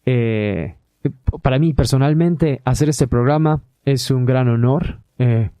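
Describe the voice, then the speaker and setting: A Mexican man hosts a podcast in a relaxed, conversational way, often pausing on "eh" as he gathers his thoughts. His voice is mellow and warm, and it was recorded close and dry with no room sound. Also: medium pitch, no room sound. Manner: hesitant, many filler "eh" pauses. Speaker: podcast host.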